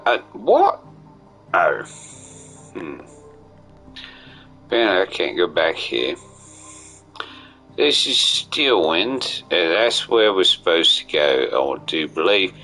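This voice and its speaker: A man's voice: fairly low pitch, sing-song, takes it slowly, long pauses, sharp tone. A man giving casual live commentary on a game, rambling in whimsical asides to himself. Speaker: game streamer. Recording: game music behind, slight mic echo. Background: video game music.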